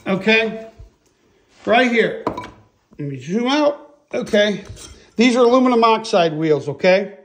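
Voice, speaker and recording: A man giving a casual hands-on workshop tutorial in a folksy, emphatic, excitable way, often checking in with the listener. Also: high-pitched, slow, pauses often, slight background noise. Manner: folksy, emphatic, repeatedly checks with "okay?" and "all right?". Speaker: host, chainsaw sharpening instructor. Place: indoor workshop.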